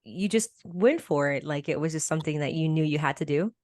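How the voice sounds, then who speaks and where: A woman's voice: low voice, brisk, a little mellow, no room sound, studio quality. A woman hosting a casual interview podcast, bubbly and gushing, laughing often.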